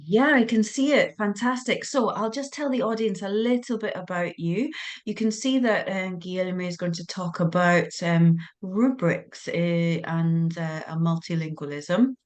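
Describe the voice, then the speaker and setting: Woman, webinar moderator, lowish voice, hesitant fillers, video call. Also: unhurried. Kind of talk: introducing a speaker.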